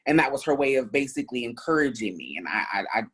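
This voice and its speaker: Woman, 30s, from Ohio, deep husky voice, earnest reflective panelist telling her own story. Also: animated, quick pace. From Columbus, Ohio, US. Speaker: panel speaker. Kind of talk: personal testimony in a panel talk.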